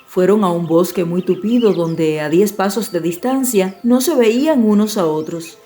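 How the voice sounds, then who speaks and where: A woman narrating a fairy tale as a scripted audiobook, in a measured, expressive storytelling manner. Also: medium pitch, soft background music.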